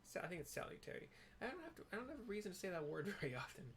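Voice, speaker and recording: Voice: medium pitch, big pitch swings, very fast, slight background noise. A video game streamer giving casual, offhand gameplay commentary, thinking aloud.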